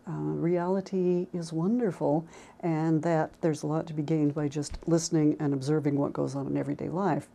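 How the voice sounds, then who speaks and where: Low, gravelly-edged woman instructor. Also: dark tone. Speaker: college English instructor. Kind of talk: informal talk to camera about teaching.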